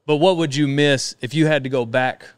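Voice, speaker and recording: A young man hosting a podcast, polite and easygoing, answering with "yes, sir" and asking quick questions. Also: fairly high pitch, steady tone, clear, resonant tone, no room sound. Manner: polite, drawling fillers, says "yes, sir". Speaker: podcast host. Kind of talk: casual interview chat.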